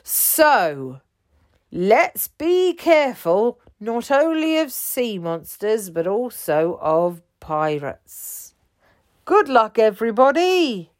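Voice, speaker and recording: A woman voicing a brisk, commanding male police chief in a children's story, speaking in a raised, urgent voice. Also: fairly high pitch, sing-song, pitched above the performer's own voice.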